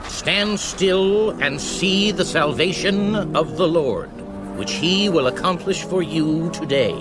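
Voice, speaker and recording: An actor playing a steadfast, reassuring leader of his people in a dramatized audio Bible, with deliberate, declamatory delivery. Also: a little high, animated, music in the background, poor audio. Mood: reassuring, resolute. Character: Moses, steadfast reassuring male leader of Israelites.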